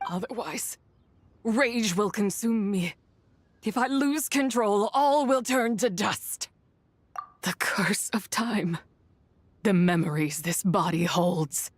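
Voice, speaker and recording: A woman's voice: medium pitch, slight background noise. A woman voicing a bitter, wrathful and tormented spirit in a video game, ominous and menacing. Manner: ominous, deliberate. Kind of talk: game voice acting.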